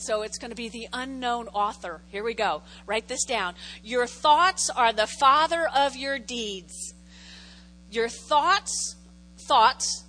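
A woman's voice: fairly high pitch, bright, forceful tone, low-quality recording. A woman pastor preaching a sermon in a conversational way, repeating key phrases for emphasis and making jokey asides to the congregation.

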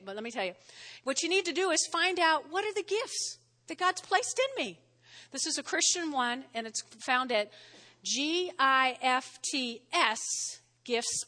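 A woman pastor with a New Jersey accent, preaching a sermon in a lively, conversational way and often checking in with "all right?". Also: high-pitched. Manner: lively, spells words out, frequent "all right?" check-ins. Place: church sanctuary. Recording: long hall reverb.

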